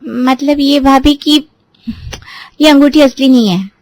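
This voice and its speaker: A woman's voice: high voice, normal speed, voice pushed up, close to an everyday voice. A woman voicing a chatty young sister-in-law who wheedles and pleads nervously. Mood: nervous pleading.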